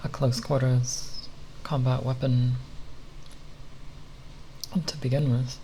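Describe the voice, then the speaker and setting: Slow, low-key ASMR rambler with creaky voice. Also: little pitch movement, warm tone, poor audio. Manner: halting, nerdy, hesitant with long pauses. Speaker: ASMR content creator. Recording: faint background noise.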